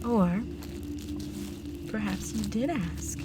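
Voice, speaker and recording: A woman playing a seductive, possessive vampire countess in an intimate roleplay audio drama, speaking in a sultry, commanding way that often drops toward a breathy whisper. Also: mid-range voice, expressive, drawn-out words, very noisy. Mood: mocking, menacing. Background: loud music.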